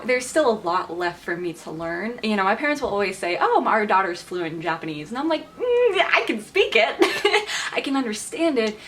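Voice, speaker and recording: A chatty woman in her twenties talks to camera in a casual vlog, her voice at an ordinary middle pitch. She sounds enthusiastic and laughs easily between her points. A faint hiss of noise sits under the recording. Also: fairly bright tone. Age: 20s. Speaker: vlogger.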